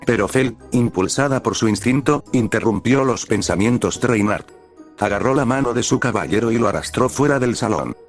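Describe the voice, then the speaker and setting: A young man reading fanfiction aloud in a steady, even narrating style. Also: mid-range voice, normal speed, soft background music. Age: young adult. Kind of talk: audiobook-style story narration.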